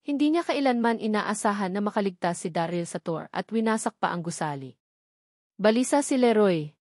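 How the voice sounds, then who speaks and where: A woman's voice: mid-range voice, expressive, moderate pace, a little mellow, no echo, clean studio sound. A woman reading scripted fantasy novel narration as an audiobook narrator.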